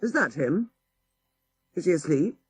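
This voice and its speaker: A man voicing a proper, self-important young Victorian gentleman in an audiobook story. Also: on the high side, big pitch swings, a little slow, breathy edge, full character voice. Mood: anxious. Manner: formal, prim.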